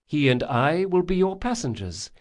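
A man voicing a composed woman envoy in an audiobook, coolly amused, formal and measured. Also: a little high, big pitch swings, pitched above the performer's own voice. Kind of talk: audiobook character acting.

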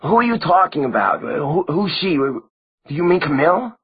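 A man's voice: fairly high pitch, animated, moderate pace, husky, highs cut off, voice pushed up. A man reading an audiobook who voices a frightened, earnest teenage vampire boy, anxious and stammering. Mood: pleading.

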